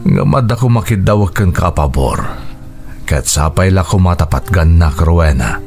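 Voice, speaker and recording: Radio drama, Ilocano accent: devoted adult son and widowed dad, very low register, sincere, music underneath. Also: male voice, animated, normal speed, darkish tone. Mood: tender, serious.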